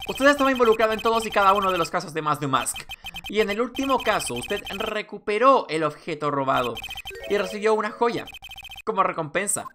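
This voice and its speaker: A woman's voice: medium pitch, lively intonation, fairly quick, background noise, lightly acted. A woman voicing an earnest, confrontational young male defense attorney from a video game, declaiming and accusing.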